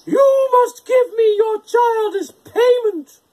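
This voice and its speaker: A storyteller voicing a wicked, possessive witch in a shrill, screechy, declaiming storybook voice. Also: mellow, rough recording. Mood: enraged. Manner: drawn-out, declaiming.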